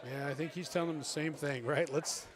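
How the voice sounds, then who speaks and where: A man giving excitable live pool commentary as a team captain, coaching the players aloud. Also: on the high side, a little fast. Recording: loud crowd around.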